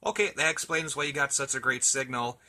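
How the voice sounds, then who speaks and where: A man's voice: medium pitch, steady tone, brisk, pushed and bright. A man in a casual radio chat, talking in an easygoing, chatty and hesitant way as an amateur operator.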